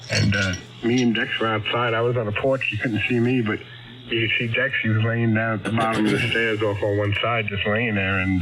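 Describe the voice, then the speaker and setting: A man's voice: lowish voice, poor audio. A man chatting casually as an amateur radio operator over a radio link. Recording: ham radio audio with background voices.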